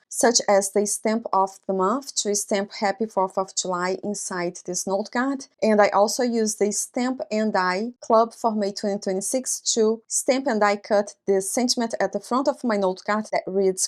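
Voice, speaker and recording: A woman whose first language is Portuguese talks through a card-making demonstration at a middle pitch. She points things out one by one in a friendly, step-by-step way, with asides as she goes. It sounds like a voice-over for close-up tabletop footage. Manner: friendly show-and-tell, pointing out items step by step. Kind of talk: craft tutorial narration.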